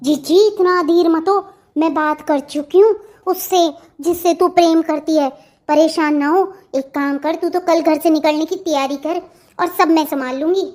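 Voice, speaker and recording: Woman voicing a scheming, high-voiced young sister-in-law. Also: steady tone, moderate pace, darkish tone. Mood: sly, coaxing. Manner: coaxing, reassuring, sly. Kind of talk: melodramatic family cartoon dialogue.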